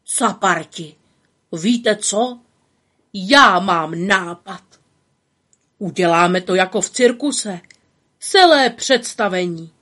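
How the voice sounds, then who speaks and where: A woman's voice: medium pitch, expressive, pauses often, a bit bright. A woman voicing a boastful, bossy little male bug who teases others in a storybook. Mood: cheerful. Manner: brash, teasing, showy.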